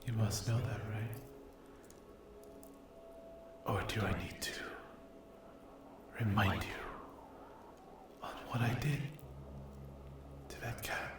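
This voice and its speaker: A man's voice: lowish voice, takes it slowly, pauses often, background noise. A man voicing a cruel, possessive sleep paralysis demon in an ASMR roleplay, speaking in a menacing, condescending, whispery drawl. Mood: taunting, sinister.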